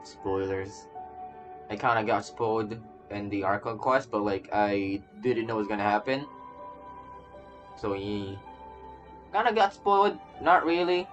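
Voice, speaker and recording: A man's voice: on the low side, unhurried, long pauses, muffled recording. A man streaming a game with casual live commentary, rambling and stammering as he repeats his phrases. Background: game music.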